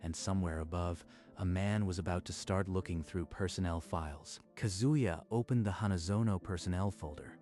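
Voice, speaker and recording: A man narrating a manga dub story in a steady, even storytelling manner. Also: low-pitched, normal speed, faint music behind.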